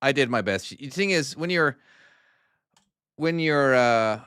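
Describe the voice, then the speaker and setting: A man's voice: medium pitch, expressive, slow pace, a bit bright, clear, resonant tone, no room sound, clean studio sound. An Irish man who makes trucking games, chatting casually and self-deprecatingly on a live stream while playing and answering chat. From Ireland. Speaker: game developer streaming his own game.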